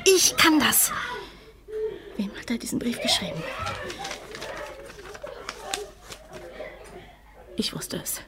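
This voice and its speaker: An actress in a German radio drama playing a bitter, jealous, harsh woman who mutters and erupts in agitation. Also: a little high. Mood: jealous, seething. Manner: agitated, muttering then shrilly outbursting.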